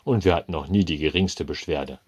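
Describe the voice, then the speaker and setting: A man playing a loud, blustering cafeteria boss. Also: extremely low pitch, brisk, slightly rough. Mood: pompous. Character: burly cigar-chewing male cafeteria manager, bullying.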